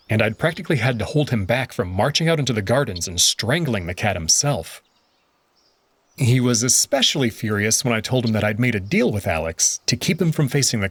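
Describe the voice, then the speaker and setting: Expressive man narrating a story. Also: on the low side, slightly rough, no echo. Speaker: narrator and lead character of a visual novel. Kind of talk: first-person scripted narration of a visual novel.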